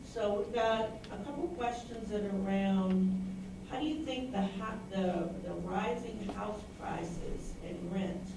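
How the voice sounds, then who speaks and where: A woman moderating a community forum panel, brisk as she puts questions to the panel. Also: medium pitch. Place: library meeting room. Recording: echoey room, distant mic, noise.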